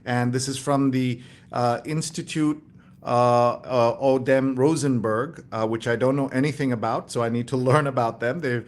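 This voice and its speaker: Man, mid-pitched, show host, casual live commentary, reads headlines aloud, halting conversational delivery. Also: fairly even intonation. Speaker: host of a newspaper read-along show.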